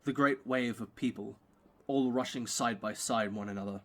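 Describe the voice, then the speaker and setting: A man narrates an audio play in a mid-pitched voice. He reads scripted prose, describing the scene and the action for the listener. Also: moderate pace.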